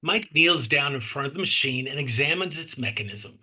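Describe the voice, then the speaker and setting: A man's voice: mid-range voice, animated, fairly quick, bright, forceful tone, poor audio. A man reading screenplay stage directions aloud as scripted narration at a table read.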